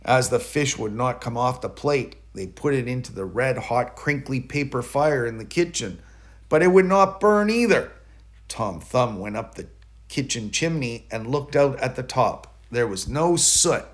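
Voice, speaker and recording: A man narrating a children's bedtime story in an expressive way, leaning dramatically on key words. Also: medium pitch, unhurried. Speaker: storybook reader.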